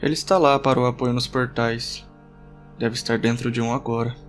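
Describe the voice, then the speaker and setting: A man's voice: medium pitch, flat delivery, a little fast, lightly acted. A frustrated young gamer boy, acted by a man, hero of a fantasy audio novel. He mutters and grumbles his lines to himself while music plays behind him. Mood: pensive. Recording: background music under the voice.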